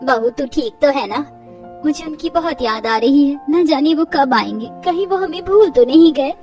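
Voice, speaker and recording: A hardworking, worried cartoon woman of humble means, plaintive and earnest voiced by a woman. Her voice is extremely high and sounds distant from the mic, over music. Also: animated, moderate pace, a little mellow. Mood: wistful.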